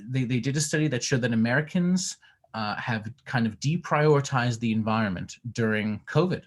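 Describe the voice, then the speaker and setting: An earnest man speaks in a middle pitch over a video call. His talk is full of fillers and restarts as he answers a question about his organization's work. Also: expressive, moderate pace. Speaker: organization head presenting.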